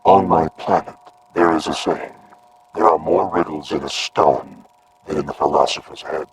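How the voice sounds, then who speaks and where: A radio-drama alien dignitary with a remarkably low voice. He is solemn, courteous and measured, speaking formally like a philosopher-diplomat. Clear background noise sits behind him. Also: steady tone. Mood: solemn, lofty. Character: tall, courteous, solemn male alien envoy. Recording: clearly noisy, with ambience behind.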